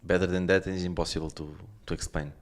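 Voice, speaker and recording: A middle-aged Portuguese man speaking English as a second language, halting and repeating words while he searches for them. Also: deep voice, little pitch movement. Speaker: real estate expert guest. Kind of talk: casual conversation.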